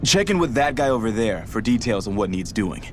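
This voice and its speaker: A man's voice: lowish voice, big pitch swings, brisk, faint music behind. An actor playing an overworked, practical, kindly male organiser of a slave camp in a video game. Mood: busy, earnest.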